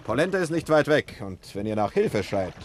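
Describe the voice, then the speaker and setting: A man's voice: medium pitch, very animated, fairly quick, a little hiss or hum, lightly acted. A man playing a menacing crook with a gun, sneering and threatening in a 1960s German radio drama. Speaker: villain.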